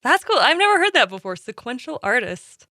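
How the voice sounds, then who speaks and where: Bright-voiced woman podcast host, dry close sound. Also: high voice, sing-song, fairly quick, no room sound. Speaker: podcast host interviewing a guest. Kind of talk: conversational interview.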